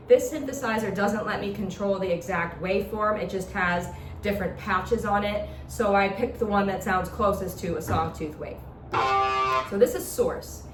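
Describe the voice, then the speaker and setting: A woman instructor explaining step by step in an informal, demonstrative lecture style. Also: medium pitch. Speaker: instructor demonstrating a talk box. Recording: echoey, distant mic with background noise.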